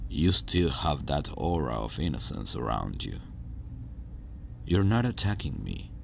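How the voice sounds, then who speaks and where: Man acting as a very deep, gravelly brooding supervillain. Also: a little mellow, slight background noise, heavily acted. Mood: menacing. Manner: slow, deliberate, sighing, dramatic pauses. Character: brooding male supervillain scientist, menacing yet regretful. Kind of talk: ASMR roleplay, dramatic acting.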